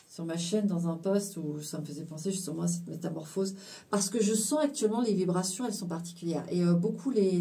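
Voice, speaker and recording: Woman doing a tarot reading for viewers, lots of euh and restarts, low mellow voice, kinda far from the mic. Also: gravelly. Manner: halting, full of fillers and restarts, mixes in English phrases. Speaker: tarot card reader. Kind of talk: casual spiritual card reading to viewers.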